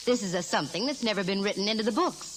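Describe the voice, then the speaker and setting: Casting: actress as cool-headed female private eye, brisk wisecracks, 1940s radio drama delivery, noisy vintage recording. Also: medium pitch, animated, close to an everyday voice. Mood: cool, wry.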